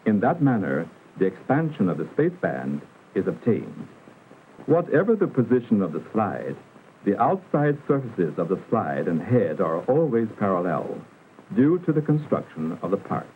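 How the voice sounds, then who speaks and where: Lively male narrator on tinny vintage film soundtrack. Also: on the low side. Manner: formal, didactic, measured enunciation. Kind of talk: scripted educational narration, 1960s industrial film. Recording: muffled vintage film soundtrack, narrow band, hiss.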